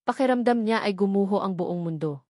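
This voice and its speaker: A woman's voice: mid-range voice, fairly quick, a little mellow, no echo. Woman narrating a dramatic story, dry sound.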